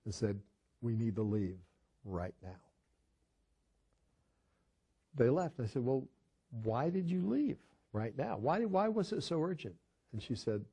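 A man's voice: mid-range voice, dark tone, breathy edge. An older man, a pastor, teaching a Bible study in a conversational sermon style, telling a story earnestly with frequent restarts.

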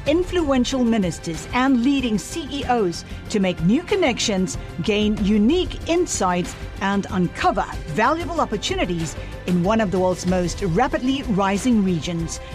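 A woman announcer reads a scripted commercial in a polished, upbeat way. Soft background music plays quietly under her voice. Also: mid-range voice. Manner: polished, upbeat sales delivery. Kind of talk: scripted promotional voice-over. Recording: voice-over with faint music behind.

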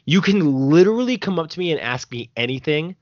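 Animated young American man, dry muffled audio. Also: fairly high pitch, a little fast, dry recording. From Arizona, United States. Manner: playful, jokey, slangy. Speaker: podcast host. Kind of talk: casual podcast banter.

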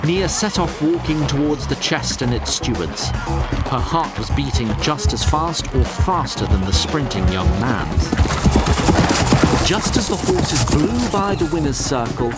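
A man's voice: mid-range voice, sing-song, a little slow, loud music behind the voice. A man narrating a scripted fantasy audio drama in a dramatic storytelling style.

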